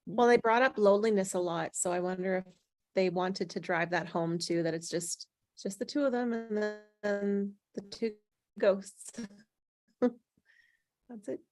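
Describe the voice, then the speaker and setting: A woman's voice: mid-range voice, measured pace, darkish tone, soft background music. A woman talking casually in a group conversation.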